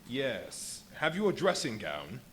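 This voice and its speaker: A man's voice: mid-range voice, huge pitch swings, slow, crowd noise. A man in a live radio play, playing an irritable, ravenous, worn-out and grandiose invisible fugitive scientist, with a theatrical, imperious delivery. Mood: demanding, hungry. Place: live performance at a brewery venue. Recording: live stage recording with faint noise.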